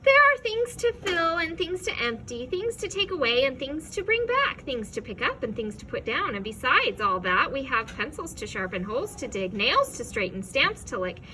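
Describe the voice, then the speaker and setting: A woman voicing a sly, scheming male demon of petty tasks who speaks in a soft, deceitful, wheedling voice. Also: high-pitched, slight background noise, voice pushed up, exaggerated voice, poor audio.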